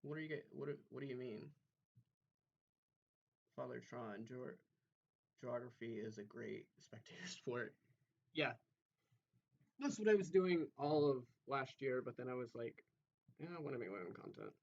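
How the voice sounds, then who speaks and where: A man's voice: medium pitch, lively intonation, moderate pace, creaky, long pauses, a little mellow, highs cut off. A man streaming, talking casually as if to a chat audience.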